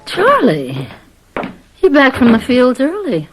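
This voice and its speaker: An American actress playing a downtrodden, uneasy young wife on a farm in a radio drama. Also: female voice, sits high, wildly swooping pitch, slow, over music, heavily acted. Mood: resigned.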